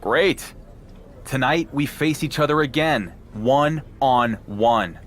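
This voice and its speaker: A man's voice: mid-range voice, slow, lightly acted. A man playing a cocky, defiant champion wrestler in a video game, confident and pointed in his talk. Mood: defiant, determined. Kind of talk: dramatic video game dialogue. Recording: faint background noise.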